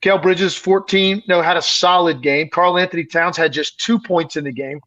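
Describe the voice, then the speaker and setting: A male basketball analyst co-hosting a podcast, his voice strained and raspy from a heavy week of talking, breaking the game down emphatically. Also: high-pitched, fairly even intonation, brisk, a bit bright, highs cut off. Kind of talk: casual sports podcast analysis.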